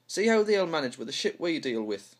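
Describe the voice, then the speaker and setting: A man with a fairly high voice reads stage dialogue as an amateur. His accent is North East English pitmatic, from around Houghton-le-Spring. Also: sing-song, brisk. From north-east England. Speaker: amateur script reader. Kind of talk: dramatic dialogue read-through.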